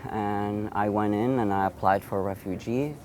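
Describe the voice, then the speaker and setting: A man in his thirties with a fairly low voice tells his own story in an interview. His is Assyrian, and he speaks haltingly, restarting and repeating words as he goes. A faint hiss of background noise sits under his voice. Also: steady tone, a little mellow. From Iraq. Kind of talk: personal storytelling.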